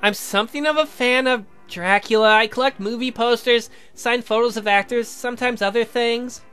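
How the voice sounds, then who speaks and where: A woman voicing a sweet, demure, apologetic young lady from a visual novel, polite and gentle in manner. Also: on the high side, normal speed, smooth, faint music behind, natural voice. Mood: apologetic, hesitant. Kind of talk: theatrical visual novel character reading.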